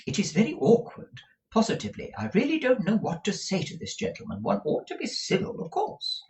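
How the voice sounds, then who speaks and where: A vain, fussy middle-aged mother from a storybook, as a man puts her on, her voice pitched well up and swinging widely. She speaks grandly, quick to take offense and quick to pity herself. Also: wildly swooping pitch, darkish tone, exaggerated voice. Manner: pompous, indignant, self-pitying.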